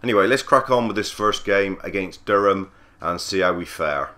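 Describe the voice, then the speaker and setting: A man with a cold, husky and croaky, giving easygoing play-by-play commentary on a cricket video game. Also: low voice, normal speed, sharp tone. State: hoarse from a cold. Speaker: gaming channel host.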